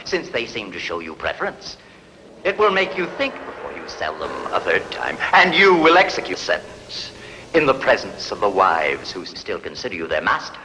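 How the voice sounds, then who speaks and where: A man's voice: lowish voice, pushed and bright, a little hiss or hum, poor audio. A man dubbing a stern, resolute ancient king with a formal, declamatory delivery in a film drama.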